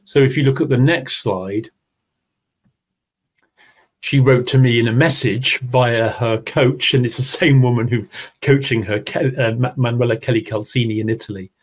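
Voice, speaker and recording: A man's voice: mid-range voice, fairly even intonation, normal speed, slightly rough. A man presenting an online teaching seminar, talking his audience through his slides. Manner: explanatory, talks through slides. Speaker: webinar presenter.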